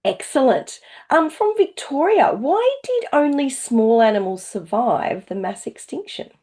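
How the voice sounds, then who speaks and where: A woman's voice: high voice, big pitch swings, unhurried, dark tone, husky. A woman hosting a live online educational session, reading out questions in an enthusiastic, encouraging way. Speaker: host reading out audience questions.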